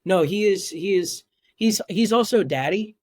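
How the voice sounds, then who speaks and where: A man in his twenties, a guest on a podcast, with a high voice, talking in a halting way and repeating his words. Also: darkish tone, no echo, studio quality. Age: 20s. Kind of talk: casual podcast chat.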